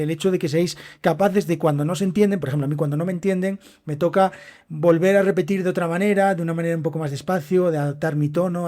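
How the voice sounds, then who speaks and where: A man's voice: fairly high pitch, darkish tone. A young man with a Castilian Spanish accent, hosting a solo Spanish-learning podcast and explaining things directly to his students. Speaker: podcast host and Spanish teacher. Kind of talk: solo educational podcast monologue.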